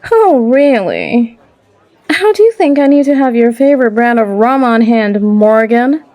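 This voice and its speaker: Woman playing a slow, mellow, teasing female barkeep fortune teller. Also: on the high side, animated. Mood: playful, teasing. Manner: coaxing, unhurried, calls listener love. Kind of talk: character audio roleplay acting.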